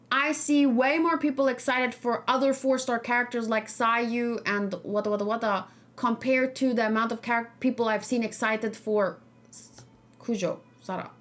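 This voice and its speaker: Female livestream host, fairly high voice, casual and informal, musing through game discussion off the cuff. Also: unhurried, fairly bright tone. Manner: informal, musing, thinking aloud. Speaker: streamer and reaction host.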